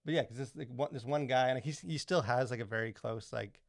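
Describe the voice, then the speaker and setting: Casual male podcaster, rapid and rambling, close dry sound. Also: medium pitch, creaky, dry recording. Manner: rambling, halting, filler-heavy explanations. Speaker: podcast co-host.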